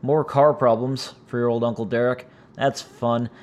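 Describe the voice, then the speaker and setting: A man with a raspy, strained voice from injured vocal cords, hosting his own podcast in a rambling, casual way full of fillers. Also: mid-range voice, little pitch movement, moderate pace, darkish tone. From United States. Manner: rambling, frequent "uh" fillers, self-deprecating. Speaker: podcast host. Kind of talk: casual solo podcast chat. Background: cats making noise.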